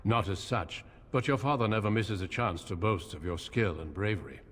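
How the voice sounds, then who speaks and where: An actor playing a courteous, grave veteran warrior in a video game, speaking in a formal, measured way. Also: male voice, on the low side, fairly bright tone. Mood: courteous, earnest. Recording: reverberant hall, noisy game ambience.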